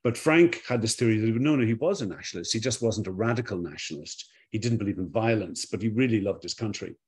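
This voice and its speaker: A man's voice: lowish voice, lively intonation, quick pace. A middle-aged Irish man, a documentary director, answering reflectively and repeating words as he searches for them, on a video call from a home room with bookshelves. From Ireland. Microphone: video call webcam.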